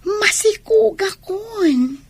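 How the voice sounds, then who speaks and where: A woman's voice: very high voice, animated, very slow, gravelly, dry recording, exaggerated voice. A woman with an Ilocano accent playing an emotional, pleading young woman in a radio drama. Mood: coaxing, anxious. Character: distressed young woman, a kept girlfriend.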